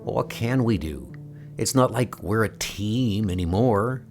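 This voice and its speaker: Male actor for cutting, sarcastic former hero, unhurried, music behind. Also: fairly low pitch, lively intonation. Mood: sardonic. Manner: sardonic, cutting. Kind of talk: comic book audio drama. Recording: music under the voice.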